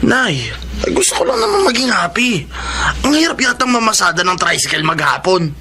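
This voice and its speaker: A defiant young son with vices, always grumbling back, in a Filipino radio drama. His voice is high for a man and cuts through with a sharp, forceful edge. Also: big pitch swings, normal speed, a little hiss or hum, full character voice. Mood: defensive.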